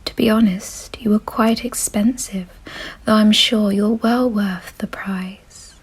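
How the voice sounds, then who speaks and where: A woman voicing a gentle, refined, doting vampire mistress in a soothing, softly possessive ASMR roleplay whisper. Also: mid-range voice, steady tone, takes it slowly. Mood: coyly possessive. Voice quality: breathy, husky whisper.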